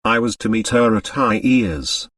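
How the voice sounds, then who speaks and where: A man's voice: fairly low pitch, unhurried, a bit bright, dry recording, studio quality, recorded from a distance. A man voicing a haughty, suave French aristocrat with a formal manner in an audiobook. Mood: frank, smooth. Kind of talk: audiobook character dialogue.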